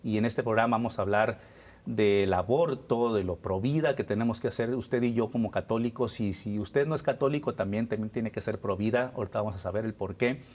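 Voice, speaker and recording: A man's voice: on the low side, a little fast, darkish tone. A man presenting a religious program in a conversational way, addressing his guests and viewers directly.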